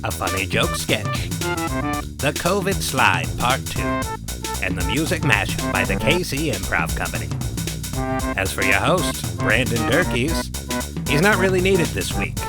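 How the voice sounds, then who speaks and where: A man giving a scripted intro as show announcer with deliberate, playful emphasis. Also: medium pitch, very animated. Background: music.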